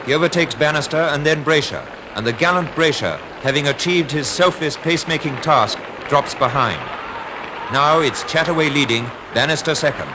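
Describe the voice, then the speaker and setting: Dramatic 1950s British newsreel commentator, noisy soundtrack. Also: male voice, fairly high pitch, normal speed, crowd noise. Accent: British Received Pronunciation. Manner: dramatic, formal announcer delivery.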